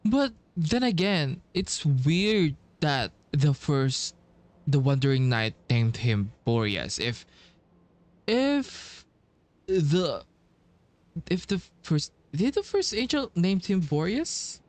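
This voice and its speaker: A man's voice: fairly high pitch, very animated, slow. A man giving casual playthrough commentary as a game streamer, hesitant and thinking aloud.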